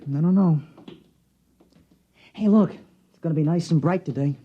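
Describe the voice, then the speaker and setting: Breezy, higher-pitched boy. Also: measured pace, lo-fi sound. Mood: casual. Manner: casual, slangy. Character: breezy, irreverent small-town boy. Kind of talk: 1950s radio acting. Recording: restored vintage radio recording.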